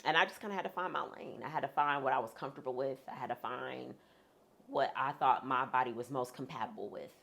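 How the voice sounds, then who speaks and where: A woman speaking frankly as a guest in a sit-down interview, talking with humorous asides in a deep, husky voice. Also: quick pace, a bit bright. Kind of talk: candid sit-down conversation.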